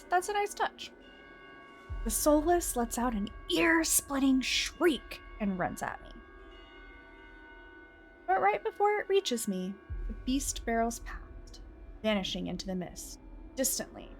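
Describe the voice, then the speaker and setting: A woman reading a visual novel aloud as a game streamer, with expressive, theatrical delivery. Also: high-pitched, slow, soft background music. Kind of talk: dramatic narration of a visual novel with casual asides.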